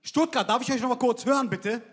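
A man's voice: extremely high pitch, fast talker, a bit bright, slightly rough. A man, performing a brash, slangy stand-up set on stage into a handheld mic. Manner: brash, slangy, punchy delivery. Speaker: stand-up comedian. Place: large theater stage with live audience. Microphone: handheld microphone. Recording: live hall with crowd reactions.

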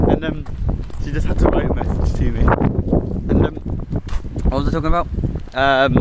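A young man with a light Gloucestershire accent and a fairly high voice chats and giggles, easily thrown off his train of thought. He holds a phone at arm's length on a dark street at night. Loud wind buffets the mic. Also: steady tone, unhurried. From England. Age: young adult. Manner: chatty, giggly, easily distracted. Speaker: vlogger. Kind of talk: rambling casual vlog. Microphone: phone held at arm's length.

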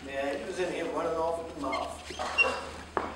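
An actor playing a gruff but steady, grieving cab driver in a staged play reading, curt and blunt. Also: male voice, fairly high pitch, measured pace, lightly acted. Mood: brusque. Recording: echoey room, distant mic, clear noise.

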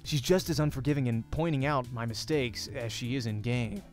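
Man, let's play streamer, expressive story read-aloud, mid-range voice, quiet background music. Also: medium pitch, fairly quick. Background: faint music.

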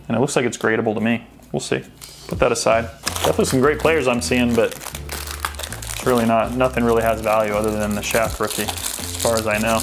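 A man hosting a sports card pack opening, chatting casually and thinking aloud as he sorts through the cards. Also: medium pitch. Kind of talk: casual unboxing commentary.

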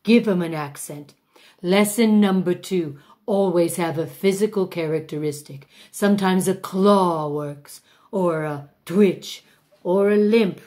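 A woman's voice: on the low side, unhurried, warm tone, natural voice. A woman playing a pompous, vain older actress who teaches a masterclass in a grand, drawling, self-important manner. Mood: grandiose. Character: pompous aging female stage diva, vain. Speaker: acting teacher in a mock masterclass. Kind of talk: comic character monologue.

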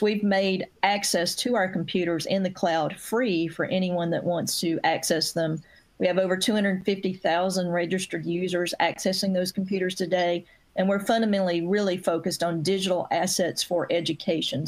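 A middle-aged woman speaking earnestly on a video-call panel, measured with some fillers. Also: fairly low pitch. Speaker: industry panelist. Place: home room.

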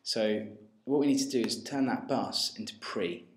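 A man giving an informal, hesitant step-by-step software tutorial as a music technology tutor. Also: lowish voice, moderate pace. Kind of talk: screencast tutorial narration. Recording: roomy, faint noise with mouse clicks.

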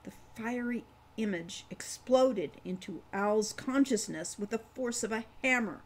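A woman in her sixties reading fantasy fiction as its author, with deliberate, dramatic phrasing. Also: fairly high pitch. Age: 60s. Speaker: author reading own novel. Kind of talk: audiobook-style fantasy narration. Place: home room with kitchen behind.

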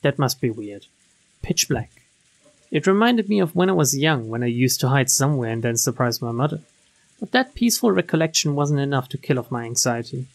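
A streamer reading a visual novel aloud in an expressive way, with casual, joking asides. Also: medium pitch. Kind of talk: let's play read-aloud with casual asides.

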